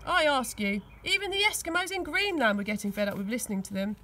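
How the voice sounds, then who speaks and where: A woman's voice: on the high side, animated, quick pace, sharp tone. A woman reading a children's story aloud expressively, recorded outdoors. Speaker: school teaching assistant reading aloud. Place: outdoors on grass.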